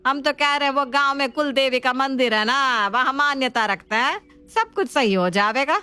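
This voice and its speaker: A woman's voice: sits high, moderate pace, pushed and bright, clean tone. A woman playing an older, superstitious village mother-in-law in a family drama, with a dismissive, traditional manner. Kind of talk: Hindi family drama acting.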